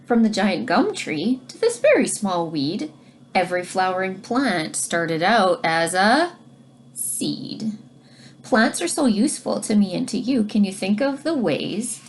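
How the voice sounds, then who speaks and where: A woman teacher reading a picture book aloud to children, pausing to explain things in simple, child-directed language. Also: medium pitch, big pitch swings, slight background noise. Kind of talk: read-aloud lesson with explanatory asides.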